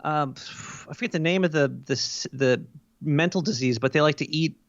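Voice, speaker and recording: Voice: mid-range voice, normal speed, dry recording, lightly acted. A performer voicing a paranoid cartoon rat podcaster, chatting in a crude, rambling, jokey way on muffled audio. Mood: mildly disgusted. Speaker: podcast guest. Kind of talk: casual improvised chat in character.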